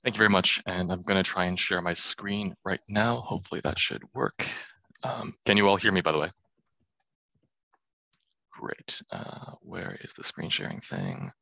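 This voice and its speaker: A man in his thirties giving an academic conference talk over a video call, quick and self-deprecating with many fillers. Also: deep voice, animated, vocal fry, bright, forceful tone, no echo, highs cut off. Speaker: conference speaker. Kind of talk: academic presentation.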